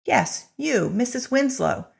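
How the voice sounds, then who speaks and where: A woman's voice: a little high, expressive, slow, creaky, breathy edge, pitched above the performer's own voice, exaggerated voice. A woman voicing a proud, self-assured seamstress from a story, speaking with indignant emphasis. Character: proud, chatty young seamstress accused of witchcraft.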